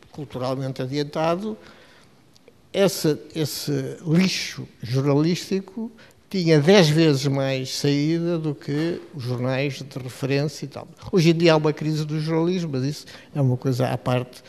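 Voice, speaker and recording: A man's voice: fairly high pitch, gravelly, a little mellow. An older man with a European Portuguese accent, moderating a discussion, asking a long, hesitant question full of fillers. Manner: hesitant, many fillers, digressive. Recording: long reverb of a hall.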